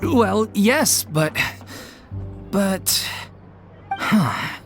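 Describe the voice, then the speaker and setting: An actor playing the polite, earnest leader of a small amateur theater troupe in a game cutscene. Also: male voice, sits high, very animated, extremely slow, heavily acted. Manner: polite, earnest, a little downcast. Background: music.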